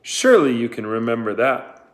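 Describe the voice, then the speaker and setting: A man reading aloud, voicing a stern, sarcastic woman who runs a camp, with cold, drawn-out questions. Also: very deep voice, very animated. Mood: icy.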